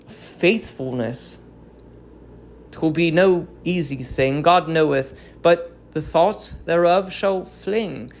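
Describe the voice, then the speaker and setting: A woman's voice: low voice, vocal fry, a little mellow, pitched below the performer's own voice, full character voice. A woman voicing a stern, wrathful Greek king with a commanding, declamatory delivery in a dramatic audiobook.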